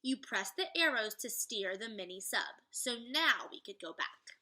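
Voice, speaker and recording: A careful young storybook boy read by a woman, timid and inclined to fret. The voice is high and very bright. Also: animated, a little slow, exaggerated voice, rough recording. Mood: relieved.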